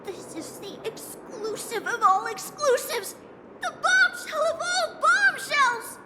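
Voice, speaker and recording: A tiny, eager game critter with a squeaky-high, swooping voice. It is childlike and melodramatic, with everything exclaimed. Music plays behind, in a reverberant space. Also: female voice, lively intonation, slow pace, full character voice. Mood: excited. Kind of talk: animated video game character acting.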